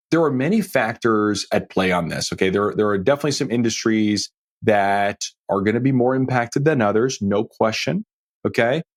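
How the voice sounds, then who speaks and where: Man, American accent, slightly rough-edged voice, emphatic podcast host, financial commentary, frequent check-ins with listeners. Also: mid-range voice.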